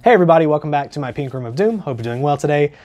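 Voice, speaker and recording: A man's voice: fairly high pitch, expressive, very fast, darkish tone, highs cut off, faint music behind. A young man in his twenties with a stuffy, congested voice from a cold, chatting casually and earnestly as he reviews gear in his small home studio, with lots of ums. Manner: chatty, filler-heavy, earnest.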